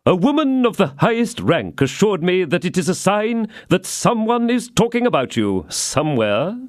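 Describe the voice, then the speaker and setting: A man's voice: high-pitched, big pitch swings, moderate pace, no room sound, clean studio sound, voice pushed up. A man doing a boastful musketeer. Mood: knowing.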